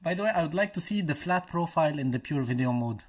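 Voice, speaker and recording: A man from Lebanon whose first language is Arabic, hosting a casual live stream chat over a video call, pausing and restarting as he looks for the right English words. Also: fairly high pitch, lively intonation. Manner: hesitant, restarts while searching for English words. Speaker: channel host.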